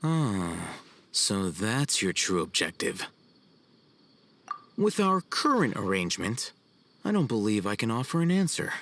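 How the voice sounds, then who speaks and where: A man voicing a cool, haughty young scholar in a video game, formal and measured. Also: lowish voice, sing-song, a bit bright, a little hiss or hum, full character voice. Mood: cool, explanatory. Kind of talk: video game cutscene acting. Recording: music behind the voice.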